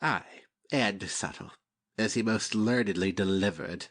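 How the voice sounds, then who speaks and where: A cynical lord of a Shakespeare play, acted by a man. His voice sits a little low and moves at a leisurely pace. He sneers through dry, witty remarks. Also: a little slow, a bit bright. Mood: mocking. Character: mocking, cynical nobleman, idle wit. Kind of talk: dramatic Shakespeare audiobook reading.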